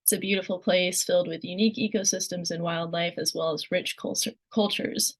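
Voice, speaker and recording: A woman's voice: lowish voice, fairly even intonation, brisk, husky. A woman from Florida who is losing their voice, reading a prepared statement on a press call. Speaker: communications director. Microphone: virtual call.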